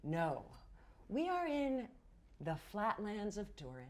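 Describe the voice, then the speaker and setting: A woman's voice: medium pitch, wildly swooping pitch, takes it slowly. A woman game master narrating a fantasy tabletop roleplaying game in a playful, theatrical storytelling manner. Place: indoor studio set.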